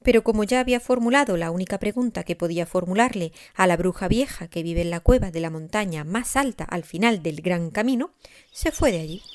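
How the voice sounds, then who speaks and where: A woman narrating a scripted children's story expressively, with deliberate emphasis. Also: medium pitch. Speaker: author narrating her own story.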